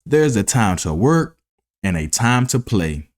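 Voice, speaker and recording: A man's voice: lowish voice, sing-song, measured pace. A man voicing a hardworking, sensible ant in a children's storybook, earnest and admonishing. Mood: stern, scolding. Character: hardworking, sensible, stern male ant who lectures. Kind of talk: children's storybook read-aloud.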